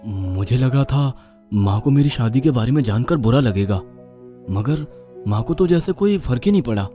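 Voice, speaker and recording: A man's voice: medium pitch, animated, normal speed, dark tone, soft background music, natural voice. A man playing an anxious young husband and son in a dramatised audio story. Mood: puzzled.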